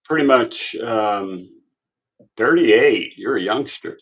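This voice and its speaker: A man's voice: lowish voice, lively intonation, slow pace, poor audio. A man in his sixties hosting a livestream, chatting casually with short, laid-back replies and some casual swearing. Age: 60s. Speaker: livestream host. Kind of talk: casual chat.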